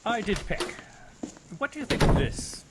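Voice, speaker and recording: An actor playing a shrewd, commanding police inspector in a radio mystery, wry and pointed. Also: male voice, high voice, big pitch swings, normal speed, very noisy. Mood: shrewd suspicion. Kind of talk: radio mystery drama acting.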